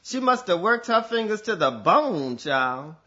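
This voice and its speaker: A man's voice: high voice, sing-song, a little slow, pushed and bright, muffled recording, heavily acted. A man playing a sassy, mothering, camp friend in a stage comedy, drawling endearments. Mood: sassy, teasing.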